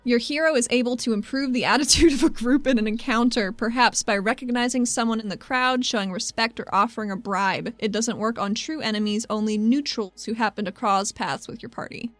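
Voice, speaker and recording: A woman plays in a tabletop role-playing game, describing her character's moves informally and playfully. Her voice is on the higher side and recorded dry and close, over faint background music. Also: brisk, few pauses, fairly bright tone, dry recording. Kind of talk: casual game chat and third-person character narration.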